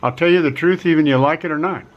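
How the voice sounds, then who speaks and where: A middle-aged man giving a casual vlog pitch from a quiet rural home, talking in a folksy way, repeating himself and stressing key words. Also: quick pace, highs cut off. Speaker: vlogger offering relocation advice.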